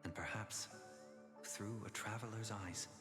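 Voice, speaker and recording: An actor playing a refined, wistful traveler in a video game, speaking in a soft, restrained, reflective way. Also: male voice, lowish voice, fairly even intonation, a little slow, music in the background, close to an everyday voice. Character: wistful, refined male traveler bidding farewell to home. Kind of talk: scripted video game voice acting. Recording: echoing, reverberant hall effect.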